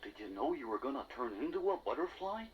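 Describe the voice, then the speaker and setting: A man's voice: medium pitch, sing-song, fast talker, highs cut off, slight background noise, close to an everyday voice. A man voicing a shy, lovestruck creature in a children's storybook recording, hesitant and bumbling in manner. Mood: awed. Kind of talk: children's storybook cassette acting with songs. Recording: 1980s cassette with loud music behind.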